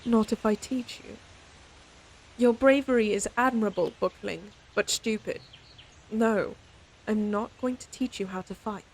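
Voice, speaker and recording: A woman's voice: mid-range voice, a little slow, husky, low-quality recording. A woman playing a proud, stern lady knight in an audio roleplay, scolding her companion in an exasperated but secretly fond way. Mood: stern, protective. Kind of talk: audio roleplay acting, speaking to the listener.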